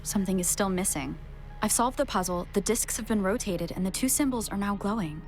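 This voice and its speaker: An actress playing a thoughtful young adventuring heroine in a video game, reasoning carefully in monologue. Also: female voice, mid-range voice, a little fast, lightly acted. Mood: pensive, focused. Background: music.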